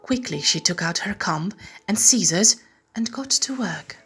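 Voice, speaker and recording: A woman narrating a children's bedtime story in a measured, expressive storytelling style. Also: mid-range voice, faint music behind.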